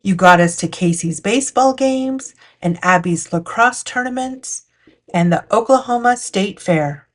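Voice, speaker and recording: A woman's voice: medium pitch, animated, unhurried. A woman narrating a picture book for children as a storytime host. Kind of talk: storytime read-aloud narration.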